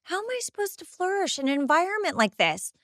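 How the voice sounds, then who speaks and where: A woman's voice: extremely high pitch, very animated, fast talker, fairly bright tone, no room sound, clean studio sound, pitched above the performer's own voice, heavily acted. A woman playing a vain, snobby, scathing teenage mean girl, haughty and dramatic in a comic monologue. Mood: exasperated. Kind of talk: in-character comic recap monologue.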